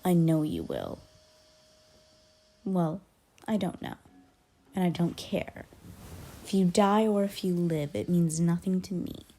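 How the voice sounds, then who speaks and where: A woman acts out a young female werewolf in an intimate ASMR roleplay. Her voice is mellow, lowish and breathy, often sinking toward a whisper. She speaks slowly and haltingly, blunt and guarded but grudgingly caring. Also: very animated, full character voice. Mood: dismissive. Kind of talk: ASMR roleplay, intimate one-sided character dialogue.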